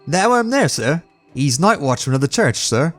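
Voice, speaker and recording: A man's voice: fairly high pitch, sing-song, moderate pace, soft background music, voice pushed up. A man voicing a nervous young bellboy with a Cockney accent, deferential and full of "sir". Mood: uneasy. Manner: deferential, keeps saying sir, rough grammar.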